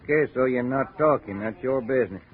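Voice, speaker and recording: An actor playing a busy, nosy hiring-hall clerk in a radio drama, casual and distracted. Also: male voice, medium pitch, fairly quick, close to an everyday voice. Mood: nosy, helpful. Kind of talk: 1950s radio drama acting. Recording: tinny old radio recording, faint music.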